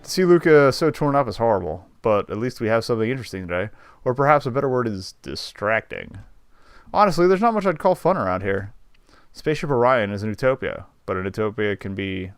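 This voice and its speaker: Male streamer, lowish voice, casual commentary and read-aloud game narration over soft music. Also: animated, brisk, clean tone, dry recording. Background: faint game music.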